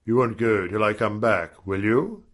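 Man as an evasive, low-voiced gentleman. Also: unhurried. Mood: flustered, pleading. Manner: vague, neutral, hesitant. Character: piqued, evasive, awkward gentleman of the house. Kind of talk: audiobook character dialogue.

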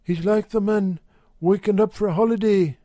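A man putting on a sly, high-voiced wife. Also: steady tone, moderate pace, warm tone, dry recording, clean studio sound, natural voice. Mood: coaxing. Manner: insidious, cat-like wheedling. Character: plump, sly working-class wife.

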